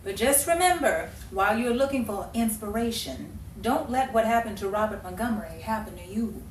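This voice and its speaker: A woman's voice: medium pitch, animated, normal speed, natural voice. A woman acting a nosy, streetwise hat-check girl in a 1950s Hollywood-style audio drama, teasing with sassy banter. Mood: wisecracking. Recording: roomy, distant mic, faint background noise.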